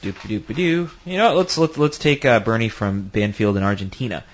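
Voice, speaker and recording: A man's voice: on the low side, a little fast, dull audio. A man co-hosting a paranormal podcast in casual chat, joking lightly and reading out listener questions.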